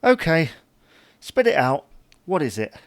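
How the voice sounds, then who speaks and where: An actor playing an exasperated, put-upon husband in a comedy audio drama, bickering with indignant sarcasm. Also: male voice, fairly high pitch, very animated, a little slow, faint music behind. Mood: impatient.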